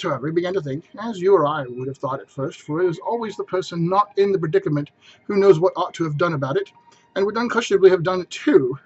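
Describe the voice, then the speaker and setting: A man narrates a story in a dramatic, emphatic manner. His voice sits high for a man and has a husky grain. The recording is a little dull. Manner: theatrical, emphatic phrasing. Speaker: narrator reading aloud.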